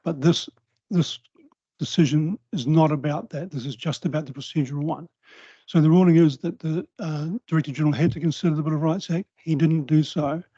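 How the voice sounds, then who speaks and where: A New Zealand man speaking at a council public forum, giving a measured, precise legal briefing in a quiet voice. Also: fairly high pitch, fairly even intonation, no room sound. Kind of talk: formal legal presentation. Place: council chamber. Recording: slightly dull, dry council meeting recording.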